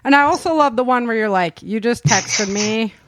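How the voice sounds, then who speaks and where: A woman's voice: fairly high pitch, lively intonation, normal speed, background noise. A woman hosting a casual group chat in a chatty, appreciative way, often breaking into laughter. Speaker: host and show producer.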